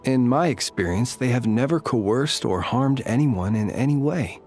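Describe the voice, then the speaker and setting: A man voicing a calm, courtly lightkeeper in a video game, speaking in formal, measured, old-fashioned phrases. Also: medium pitch, faint music behind, close to an everyday voice. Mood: grave.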